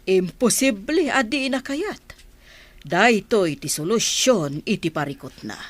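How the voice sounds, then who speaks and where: A woman's voice: medium pitch, animated, measured pace, dry recording. A woman acting a concerned family matriarch in an Ilocano radio comedy drama. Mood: worried. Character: concerned, slow-spoken mother and grandmother.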